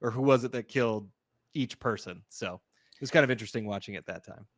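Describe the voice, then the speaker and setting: A man with a medium-pitched voice co-hosts a film podcast. He speaks in casual conversation, recorded close and dry with little room sound. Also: quick pace, no echo.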